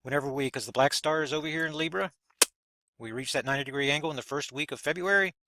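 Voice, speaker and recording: A man's voice: on the high side, fast, noisy background. A man hosting a video, talking through a chart in a loose, rambling way, often repeating words as he points things out. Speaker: video host explaining a chart. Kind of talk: casual explanatory monologue.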